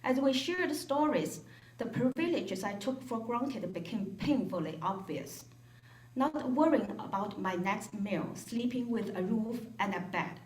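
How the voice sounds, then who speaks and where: A woman from Shanghai, a volunteer reading a prepared, reflective speech at a gathering. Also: medium pitch. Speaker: volunteer speaker. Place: hotel event room. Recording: echoey event room.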